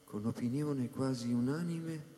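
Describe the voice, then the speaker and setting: A man's voice: mid-range voice, measured pace, mellow, close to an everyday voice. Echoing, reflective actor as a male scientist narrator. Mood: reflective, subdued. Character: reflective male scientist recounting life on space station. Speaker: actor narrating as the story's protagonist. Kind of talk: dramatic first-person scripted narration in science-fiction radio drama. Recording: echoey hall reverb under live electronic music.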